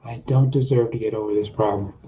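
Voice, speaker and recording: A man working as a coach, leading a guided self-help exercise in short, deliberate, repeated phrases with pauses between them. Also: on the low side, fairly even intonation, slight background noise. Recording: dull, muffled lo-fi audio.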